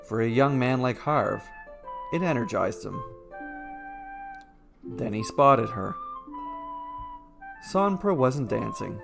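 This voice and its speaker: A man's voice: medium pitch, lively intonation, takes it slowly, gravelly, pauses often. A man reading a scripted fairy tale as its storyteller and narrator. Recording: music playing behind the voice. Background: instrumental music.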